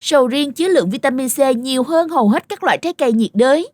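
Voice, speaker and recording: High, lilting talking durian fruit. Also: female voice, animated, fast talker, darkish tone, no room sound. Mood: proud, instructive. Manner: explanatory, sing-song, friendly. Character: friendly, proud talking durian fruit, eager young teacher. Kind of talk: children's cartoon dubbing, explaining nutrition.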